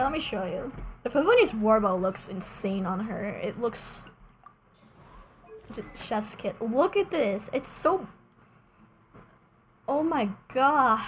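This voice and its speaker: A woman's voice: on the high side, a little hiss or hum. A young woman giving casual live gaming commentary as a streamer, playful, slangy and exclamatory. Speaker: game streamer.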